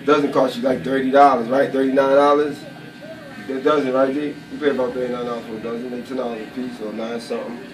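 A man making a casual sales pitch, slangy and repeating himself. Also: little pitch movement, fast talker. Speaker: salesman of barber supplies. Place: barbershop, indoors. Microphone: camera a few steps away. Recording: roomy, distant mic, chatter around.

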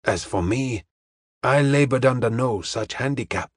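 Man acting a slow, deliberate detective. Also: mid-range voice, husky, no echo, clean studio sound. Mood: calm, assured. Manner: slow, deliberate, formally polite, drops articles. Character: courteous, serene Chinese detective, clipped deliberate speech.

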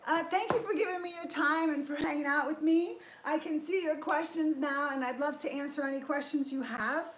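A woman's voice: high voice, a little fast, fairly bright tone, a little hiss or hum. A middle-aged woman hosting a casual fitness livestream from her garage home gym, upbeat as she thanks viewers and explains her training step by step. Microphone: fixed camera at a few feet. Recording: echoey garage room.

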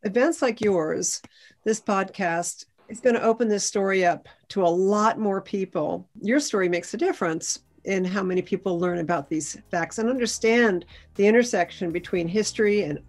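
A woman's voice: mid-range voice, normal speed, darkish tone, faint music behind. A middle-aged American woman, a historian explaining things in a panel discussion on a video call. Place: indoors at home, video call.

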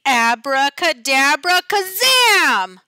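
A woman's voice: high voice, sing-song, drawn-out words, sharp tone, dry recording, clean studio sound, pitched above the performer's own voice, exaggerated voice. A woman voicing a monstrous green lady teacher from a storybook, sweetly sinister and theatrical, gleefully menacing. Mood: casting a spell.

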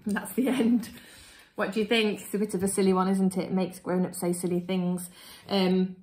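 A woman's voice: medium pitch, little pitch movement, moderate pace, darkish tone, echoey room. A woman in her thirties, a teacher, chatting casually to young children. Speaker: primary school teacher. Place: small living room at home. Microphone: phone or tablet at arm's length.

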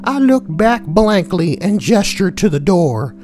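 A woman's voice: on the low side, sing-song, a little slow, darkish tone, faint music behind. A woman reading forum posts aloud and adding crude, sweary, joking commentary. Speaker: online narrator and commentator.

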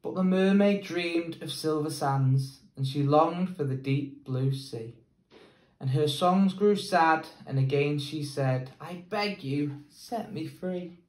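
Slow young English teacher reading aloud. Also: male voice, a little high, echoey room, poor audio. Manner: slow, measured storytelling. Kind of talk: picture book read-aloud. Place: plain indoor room.

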